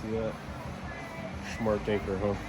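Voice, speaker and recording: A man's voice: fairly low pitch, completely flat delivery, measured pace, low-quality recording. A man giving casual, informal commentary as he tinkers, musing aloud in short fragments. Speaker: DIY truck builder vlogger. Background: music playing in the background.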